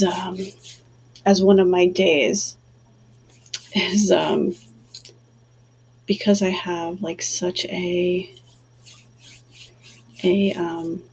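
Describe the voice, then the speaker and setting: Calm soft-voiced woman on a livestream, bit gravelly, dull lo-fi audio w a faint hum. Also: on the low side. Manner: soft, calm, halting with many fillers. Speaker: livestream host and energy healer. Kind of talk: casual livestream chat, then guided breathing.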